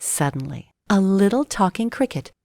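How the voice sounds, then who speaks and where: Woman narrating children's stories, very lively intonation. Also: mid-range voice, normal speed, gravelly, no room sound, studio quality. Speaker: storyteller. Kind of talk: scripted children's story narration.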